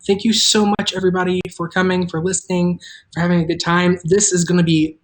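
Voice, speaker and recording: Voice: mid-range voice, flat delivery, quick pace, low-quality recording. A host of an audio drama chatting casually and informally on a livestream, rambling a little from thought to thought.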